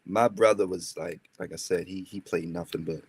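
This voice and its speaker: A man's voice: low voice, moderate pace, husky. A young man from Maryland, a rapper, telling stories in a casual interview with lots of fillers and tangents. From Maryland, USA. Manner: rambling, animated storytelling with many fillers.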